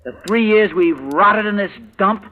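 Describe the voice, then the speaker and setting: An actor playing a bitter young husband trapped caring for a rich uncle, tense and brooding, flaring into anger, in an old radio drama. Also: male voice, high-pitched, a little slow, phone-quality sound. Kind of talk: 1940s radio acting. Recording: old radio broadcast with faint hiss.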